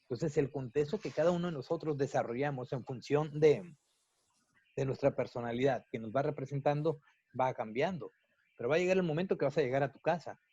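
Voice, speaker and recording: Measured Mexican man explaining, lo-fi. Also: medium pitch, mellow, husky, no echo. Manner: measured, lecturing, unhurried. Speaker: psychologist. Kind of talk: interview, explaining at length. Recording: dull, lo-fi, dry sound.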